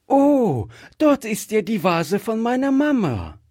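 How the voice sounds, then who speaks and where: Casting: eager, innocent little storybook girl played by a man, lifted high pitch, lively swings, inquisitive and earnest. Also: a little slow, slightly rough, no echo, clean studio sound, heavily acted. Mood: excited. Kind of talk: acted storybook dialogue.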